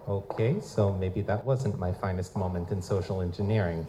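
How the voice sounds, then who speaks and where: A man's voice: deep voice, steady tone, normal speed, a crowd in the background, lightly acted. A man playing a wry, wisecracking goblin private eye in a hard-boiled noir audio drama. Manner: wry, feigns hesitant politeness. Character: wisecracking male lutin goblin private investigator, narrating noir-style. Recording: noisy live stage recording.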